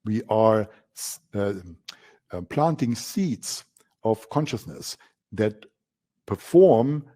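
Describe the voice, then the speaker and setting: A man's voice: medium pitch, mellow, slightly rough. A man from Germany with a German accent, presenting a coaching masterclass as the instructor and stressing key words by repeating them.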